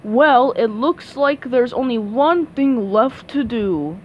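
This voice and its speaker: A woman voicing a crusty male crab restaurant boss in a hobby cartoon dub, with gruff, ominous pirate diction. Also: fairly high pitch, lively intonation, slow pace, lo-fi sound, slight background noise. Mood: grave.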